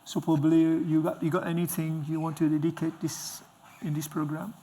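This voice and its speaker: A man's voice: a little high, flat delivery, a little fast, mellow, slight background noise. A middle-aged man hosting a conversational interview in an encouraging, reflective way. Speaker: interviewer, host. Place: indoor stage with dark curtains.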